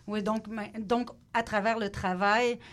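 A woman's voice: medium pitch, fairly even intonation, a little slow. A woman hosting a radio interview, asking questions with many fillers and self-corrections. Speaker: radio host.

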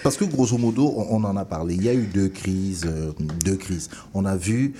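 A man hosting a conversational interview, talking in an animated, digressive way and circling back to rephrase. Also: low voice, normal speed, a little hiss or hum. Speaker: host interviewing a guest.

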